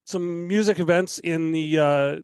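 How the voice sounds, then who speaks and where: Canadian podcast guest, a guy with a slightly high voice, casual chat, dry close mic. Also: fairly even intonation, unhurried, darkish tone, smooth, dry recording.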